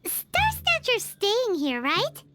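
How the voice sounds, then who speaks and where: A tiny childlike fairy companion, with an extremely high, squeaky voice that swings around as she chatters. She is cheerful, curious and exclamatory, in animated game style, with loud music playing behind her. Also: slow, exaggerated voice. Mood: chatty. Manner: speaks of herself in the third person, exclamatory. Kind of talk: animated video game voice acting.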